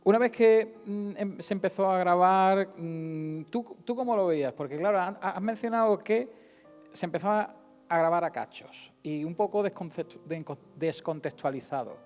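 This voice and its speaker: A man's voice: high voice, normal speed, darkish tone. A man presenting an event, interviewing informally in a hesitant, repetitive way. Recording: echoey hall with music behind.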